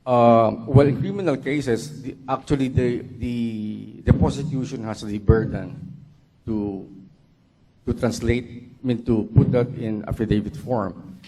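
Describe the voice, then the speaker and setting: A male law professor from the Philippines answering audience questions at a talk, explaining deliberately and often repeating words as he goes. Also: medium pitch, steady tone, background noise. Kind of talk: Q&A at a lecture.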